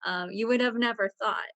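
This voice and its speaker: A woman presenting as a reporter, explaining in a conversational, halting way as she thinks aloud. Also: fairly high pitch, a bit bright, dry recording.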